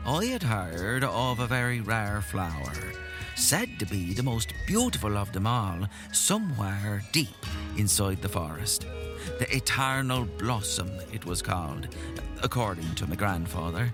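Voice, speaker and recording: An actor playing a folksy old village storyteller in an audio drama, boastful and earnest, savouring the tale theatrically. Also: male voice, fairly low pitch, very animated, a little slow, music in the background. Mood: wistful, playful.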